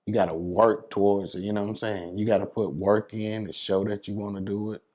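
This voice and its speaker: A man with a low voice and an American accent chats casually in an interview. He explains his craft as a working DJ and often checks that the listener follows. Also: flat delivery, a little fast, a little mellow. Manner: explanatory, repeats "you know what I'm saying". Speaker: DJ and entertainer being interviewed.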